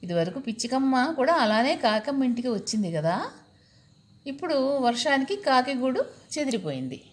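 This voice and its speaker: A woman's voice: high-pitched, big pitch swings, normal speed. A woman telling a children's story, repeating and explaining key words and putting questions to her young listeners.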